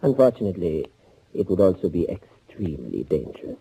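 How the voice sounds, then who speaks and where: An actor playing a smug, sadistic Nazi fugitive who can turn to pleading, in theatrical 1940s radio thriller style with mockingly courteous menace. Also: male voice, sits low, sing-song, brisk, creaky, mellow, breathy edge, heavily acted. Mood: mocking, sinister. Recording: muffled 1940s radio recording.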